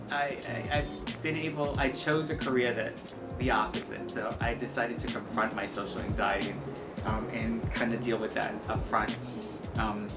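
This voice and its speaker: A man giving informal, candid interview answers in a hesitant, rephrasing way. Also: medium pitch. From El Salvador until age seven, then New York state, US. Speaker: community center workforce and tech staffer, interviewee. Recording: echoey room with loud music bed. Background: loud music.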